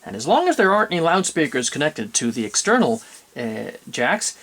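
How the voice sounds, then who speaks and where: A man's voice: on the high side, sing-song, fairly quick, fairly bright tone. A man presenting an unscripted, methodical demonstration of vintage audio gear, explaining step by step and correcting himself as he goes.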